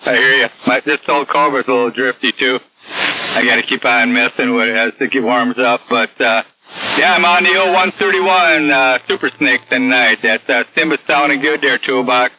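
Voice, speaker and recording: A man's voice: mid-range voice, moderate pace. A folksy man runs a casual CB radio net. He talks in radio slang. The sound is thin and tinny, with light static behind him.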